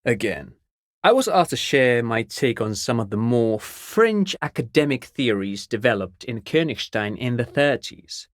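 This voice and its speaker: An actor playing a chatty, courteous amateur academic in a video game, explaining things precisely like an eager enthusiast. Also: male voice, mid-range voice, very animated, a little slow, no room sound. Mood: earnest, scholarly. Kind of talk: game character dialogue.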